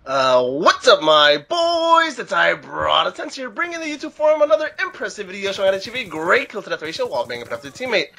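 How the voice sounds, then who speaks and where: A man's voice: high-pitched, sing-song, fast talker, vocal fry, hardly any pauses, sharp tone. A young man talking as a guest gaming commentator in a casual, rambling, slangy way. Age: young adult. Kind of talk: casual chat.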